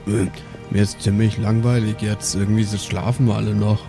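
A man's voice: lowish voice, level tone, fairly quick, music in the background. A man playing in a tabletop role-playing podcast, chatting casually in a laid-back way. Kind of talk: casual chat.

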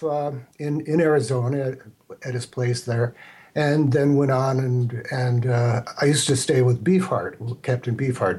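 An older man from the United States, an interview guest, reminiscing casually in a halting, meandering way. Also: medium pitch, darkish tone, breathy edge. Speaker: interview guest, radio producer.

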